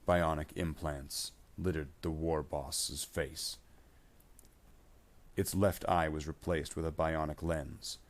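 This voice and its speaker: A man's voice: a bass voice, steady tone, slow pace. A man reading scripted science fiction battle narration.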